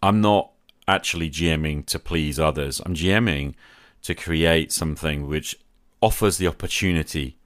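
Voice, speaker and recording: A man's voice: a bass voice, moderate pace, hardly any pauses, fairly bright tone, dry recording, clean studio sound. A middle-aged man hosting a podcast, talking reflectively and gratefully as he replies to listeners. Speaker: podcast host. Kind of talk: solo podcast talk replying to listener calls.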